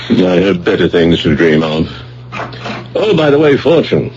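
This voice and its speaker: An actor playing a principled, stubborn professor in a radio play, husky, formal and firm. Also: male voice, low-pitched, very animated, a little slow, darkish tone, slight background noise. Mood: cool, probing. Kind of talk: radio drama acting. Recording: dull old radio broadcast.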